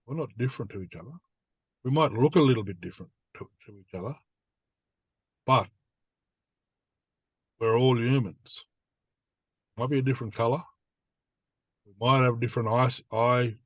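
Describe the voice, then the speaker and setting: A man's voice: medium pitch, no echo. An older man from New South Wales with an Australian accent, speaking as an elder and teacher in plain, repetitive, simply built phrases. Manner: plain-spoken, repeats phrases in simple lists. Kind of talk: informal spoken teaching.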